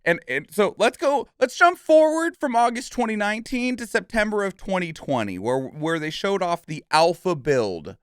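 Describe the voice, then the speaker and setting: Guy doing casual reaction commentary, really animated, kinda high voice, stumbles and thinks out loud. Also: normal speed, few pauses, clean tone, no echo. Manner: rambling, stammering, thinking aloud. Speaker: reaction channel host.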